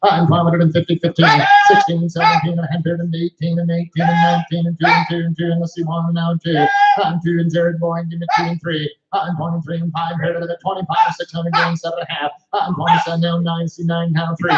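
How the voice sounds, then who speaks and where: A man working as a livestock auctioneer, calling bids in a rapid rhythmic chant. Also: fairly high pitch, completely flat delivery, echoey room, muffled recording, very noisy. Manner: rhythmic repetitive bid calling. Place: cattle sale ring.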